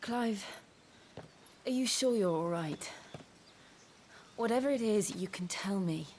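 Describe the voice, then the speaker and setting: A woman playing a gentle, loyal young companion in a video game drama, speaking softly and earnestly. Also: mid-range voice, lively intonation, takes it slowly, a little hiss or hum. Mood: concerned. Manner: soft, earnest, caring.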